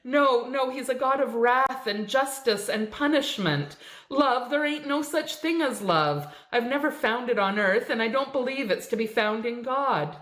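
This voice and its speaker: A woman voicing a shrill, terrified dying woman. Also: lively intonation, a little slow, fairly bright tone. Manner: shrill, pleading, frantic. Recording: echoey room.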